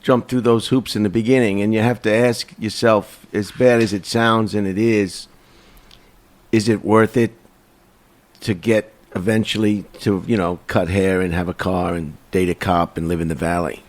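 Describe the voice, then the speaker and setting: A man's voice: lowish voice, little pitch movement, normal speed. A man from Long Island hosting a casual podcast chat, rambling and often stumbling over his words. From Long Island, New York. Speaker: podcast host.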